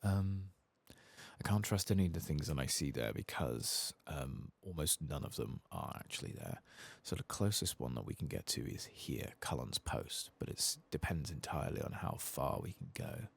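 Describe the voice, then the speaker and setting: A soft-spoken man giving rambling ASMR commentary as he plays a game, sometimes dropping into a whisper. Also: rumbling low, big pitch swings, moderate pace, creaky, slightly rough, dry recording. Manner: rambling, musing to himself; often drops into whispers. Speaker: host of a gaming playthrough channel.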